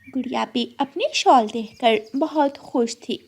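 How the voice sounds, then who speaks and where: A woman with a very high voice narrates a children's story. She reads the script at a slow pace, as a storyteller. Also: gravelly, darkish tone, slightly rough.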